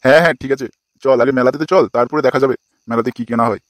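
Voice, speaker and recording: A man's voice: mid-range voice, steady tone, racing, no room sound, close to an everyday voice. A man voicing an exasperated, foul-mouthed older village father in a comic cartoon, scolding crudely. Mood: bossy, teasing.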